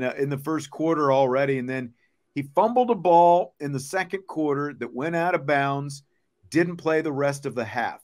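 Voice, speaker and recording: A man hosting a football show, giving casual, conversational commentary with emphatic points. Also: a little high. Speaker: sports podcast host.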